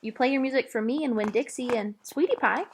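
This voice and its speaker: A woman's voice: a little high, brisk, background noise, close to an everyday voice. A woman voicing a curious, earnest young girl from a storybook who asks frank, direct questions. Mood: inquisitive.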